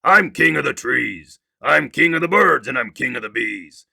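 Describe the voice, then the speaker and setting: A man voicing a pompous, bossy male turtle king who barks orders and boasts loudly. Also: mid-range voice, expressive, moderate pace, vocal fry, husky, dry recording, studio quality, heavily acted. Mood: boastful. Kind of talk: storybook read-aloud.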